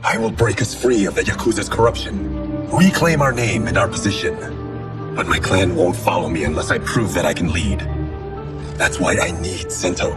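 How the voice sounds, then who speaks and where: A man's voice: low-pitched, normal speed, loud music behind the voice, lightly acted. An actor playing a grim, proud swordsman of a fallen clan in a video game, speaking in a terse, solemn, determined way. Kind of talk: cinematic video game acting.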